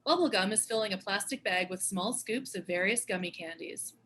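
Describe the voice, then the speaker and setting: A woman's voice: medium pitch, fairly quick, fairly bright tone, a little hiss or hum. A woman reading screenplay stage directions as scripted narration.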